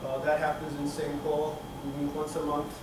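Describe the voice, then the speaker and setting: A middle-aged man from Turkey talks through his slides at a tech conference, pausing often and restarting his sentences. His voice sits a bit high and comes from across the room. Heavy reverb and steady room noise surround him. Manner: halting, many fillers and restarts. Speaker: conference speaker. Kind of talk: technical conference talk. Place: conference room with projector screen. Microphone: room camera from the back of the hall. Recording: echoey hall, speaker far from mic, room noise.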